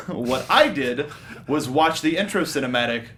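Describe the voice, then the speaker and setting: A man's voice: medium pitch, lively intonation, unhurried, a bit bright. A man with an American accent hosting a movie review show, switching between upbeat announcing and casual panel chat.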